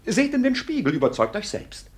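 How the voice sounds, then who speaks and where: An actor playing a sly, mockingly polite court clerk in a 1950s German radio play, with a teasing, declamatory delivery. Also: male voice, high-pitched, huge pitch swings, a little fast, slightly rough, full character voice. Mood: needling. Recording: vintage 1950s radio recording.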